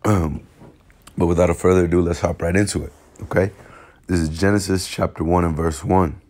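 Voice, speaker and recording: A man who is hoarse from a cold, with a raspy voice, teaching an informal bible lesson in a halting way, repeating words and using many fillers. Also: deep voice, steady tone, darkish tone. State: hoarse from illness. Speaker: religious teacher. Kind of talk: informal bible lesson over screen recording. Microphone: phone screen recording.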